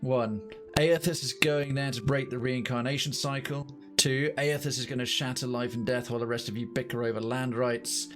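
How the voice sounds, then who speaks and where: A man's voice: mid-range voice, fairly even intonation, moderate pace. A man streaming a video game, reading narration aloud and musing over it as he goes. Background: faint game music.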